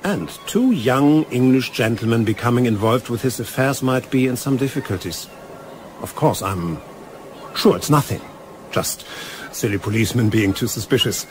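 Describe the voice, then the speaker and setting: An actor playing a courteous, insinuating German naval commander with a German accent in a radio play. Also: male voice, mid-range voice, expressive, moderate pace, a little hiss or hum, natural voice. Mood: veiled warning. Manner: courteous, insinuating, hesitant phrasing. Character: Von Brüning, suave German naval commander, slyly menacing.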